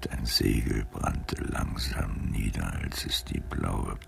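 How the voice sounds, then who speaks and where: An actor playing a frail, wry old man in a radio drama, muttering to himself in a gravelly, breathy whisper as he reads aloud. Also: a bass voice, fairly even intonation, takes it slowly, slight background noise, exaggerated voice. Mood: weary whispering. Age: older.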